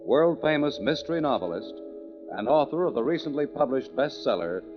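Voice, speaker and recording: A man's voice: medium pitch, sing-song, brisk. A man announcing a 1940s radio mystery show in a bold, formal, scripted style. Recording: muffled vintage radio recording. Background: loud music.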